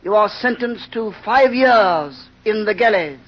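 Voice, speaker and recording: An actor playing a stern, cold, pompous judge with formal, officious pronouncements in an old radio drama. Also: male voice, high voice, animated, unhurried. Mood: stern, detached. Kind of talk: 1930s radio drama acting. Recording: dull old radio recording, faint noise.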